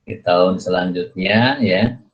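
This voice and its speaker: Male lecturer, deep voice, halting and repetitive explainer delivery, dull lo-fi recording. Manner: halting, repetitive, punctuates phrases with a checking tag. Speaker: accounting lecturer. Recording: dull, muffled lo-fi audio.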